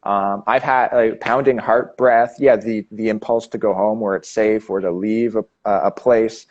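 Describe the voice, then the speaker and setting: A man's voice: fairly low pitch, steady tone, a little mellow, dull audio. A man from Canada hosting a live chat, talking casually with frequent restarts. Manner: halting, many restarts and fillers. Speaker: host.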